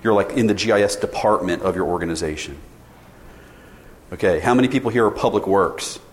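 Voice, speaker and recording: A man in his forties gives a casual conference presentation to an audience, polling them with questions and restarting his sentences often. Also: lowish voice. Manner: informal, polls the audience, many restarts. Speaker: sales director presenting at a conference. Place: conference session room. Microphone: tethered mic. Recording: reverberant hall, faint ambience.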